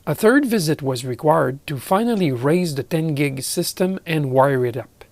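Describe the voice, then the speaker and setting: A man's voice: a little high, lively intonation, moderate pace, dry recording. A middle-aged man from Canada narrating a hobby project vlog as an amateur radio operator.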